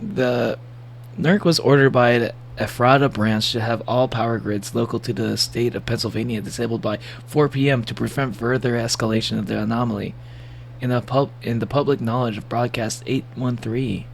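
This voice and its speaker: A man with a gravelly voice giving a casual livestream reaction, rambling and joking playfully as he comments and reads bits aloud. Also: mid-range voice, fairly even intonation, normal speed, a little hiss or hum. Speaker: streamer reacting to horror videos.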